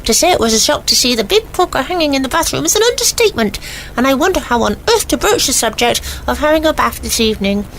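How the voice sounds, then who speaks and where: An actress playing a prim, perturbed British villager reading out a formal, wordy letter in a 1940s radio comedy. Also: female voice, sits high, big pitch swings, fast, fairly bright tone, slight background noise, heavily acted, poor audio. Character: prim, perturbed villager writing to agony aunt.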